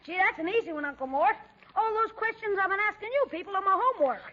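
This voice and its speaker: A mischievous little schoolboy, really high voice, wisecracking, old radio comedy, muffled sound. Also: expressive, fast talker, bright, forceful tone, full character voice. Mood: pleading. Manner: brash, wisecracking, exclaiming. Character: mischievous, cheeky schoolboy nephew, quick and eager. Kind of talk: 1940s radio comedy acting. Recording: dull, noisy 1940s radio recording.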